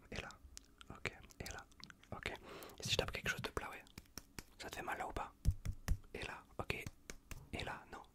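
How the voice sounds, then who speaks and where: Whispering man, close-up ASMR. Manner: hushed, reassuring, repetitive, casual slangy talk. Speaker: ASMR performer playing an untrained medic. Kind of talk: whispered ASMR roleplay of a medical exam. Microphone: close USB condenser microphone.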